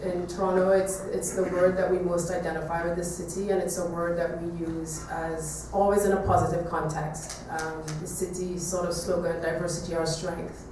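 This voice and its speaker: A woman from Trinidad giving an artist talk to an audience, speaking reflectively with hesitant pauses. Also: lowish voice, flat delivery. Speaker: visual artist giving a presentation. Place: echoey room, talk to an audience. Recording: echoey room, distant mic, faint noise.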